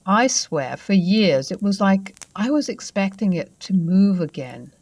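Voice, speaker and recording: A woman narrating a first-person ghost story in a conversational, expressive way, with dramatic emphasis and pauses. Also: medium pitch, husky, no echo.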